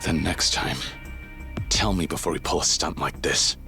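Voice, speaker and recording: Stoic yakuza man, low-pitched, gruff, terse, guarded, video game dub, music in background. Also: normal speed. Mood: tense, indignant. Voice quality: very deep, low voice.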